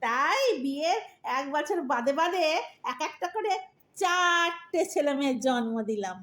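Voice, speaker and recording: A woman's voice: high-pitched, expressive, measured pace, fairly bright tone. A woman playing an exasperated old wife who scolds her husband with sarcasm and mock sweetness in a comic audio drama. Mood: aggrieved.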